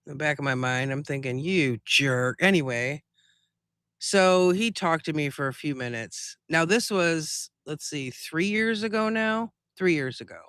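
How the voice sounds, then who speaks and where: A man's voice: fairly high pitch, expressive, a little slow, sharp tone, smooth, no room sound, studio quality. A man hosting a live stream in a casual, chatty style, telling things in a rambling, conversational way.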